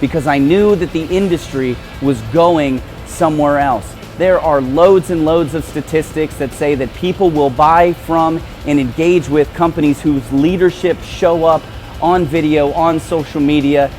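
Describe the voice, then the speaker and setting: A man's voice: fairly high pitch, a little slow, a little mellow, soft background music. An American man speaking conversationally as a radio host and promoter. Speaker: radio show host and business owner. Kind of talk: conversational hosting and promotional talk.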